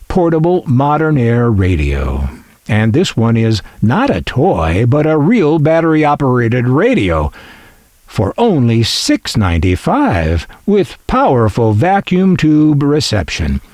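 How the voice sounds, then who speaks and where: Unhurried man narrating with animated, wry delivery. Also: mid-range voice, dry recording, studio quality. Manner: wry, folksy asides. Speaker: collector and narrator. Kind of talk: scripted narration.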